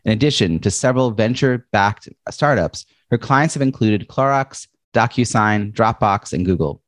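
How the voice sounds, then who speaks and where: Deep-voiced chatty male podcast host. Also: animated, moderate pace, no room sound, clean studio sound. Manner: chatty, says he has a loud voice and big presence. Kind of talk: interview podcast.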